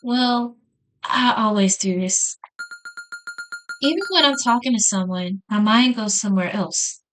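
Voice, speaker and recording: A woman's voice: a little high, a little slow, a bit bright, slight background noise. A woman reading visual novel text aloud as a gaming host, in an informal way with casual reactions. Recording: music behind the voice.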